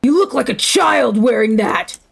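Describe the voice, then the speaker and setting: Woman acting a brash, creaky-voiced young anime hothead. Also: on the high side, lively intonation, a little slow, heavily acted. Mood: scornful. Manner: barking, scornful, commanding. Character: brash, foul-mouthed, hot-tempered young male anime hero. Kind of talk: improvised joke fan dub while gaming.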